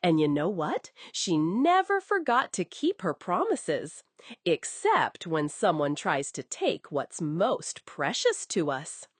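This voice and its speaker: Woman telling bedtime stories, slow and highly animated. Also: breathy edge, no room sound. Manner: coddling, addresses listener with endearments. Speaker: bedtime storyteller. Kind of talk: scripted children's bedtime story narration.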